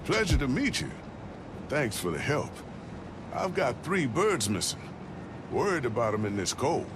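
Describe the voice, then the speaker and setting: An actor playing a friendly, worried pigeon keeper in a video game, speaking in plain, clipped phrases. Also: male voice, mid-range voice, big pitch swings, measured pace. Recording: loud music behind the voice.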